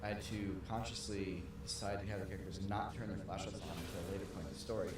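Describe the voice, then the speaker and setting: Soft-spoken male co-host, fast, low, distant and echoey. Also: fairly even intonation. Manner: soft-spoken, quick, dry jokes. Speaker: video creator and podcast co-host. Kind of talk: casual podcast chat. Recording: echoey room, far from the mic, noisy.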